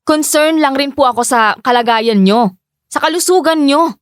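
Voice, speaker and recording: Casting: actress as young Filipino breadwinner daughter, weary, dutiful, pleading, higher-pitched voice, radio drama. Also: female voice, moderate pace, no room sound, studio quality, lightly acted. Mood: concerned, pleading. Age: young adult. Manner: respectful, exasperated, pleading.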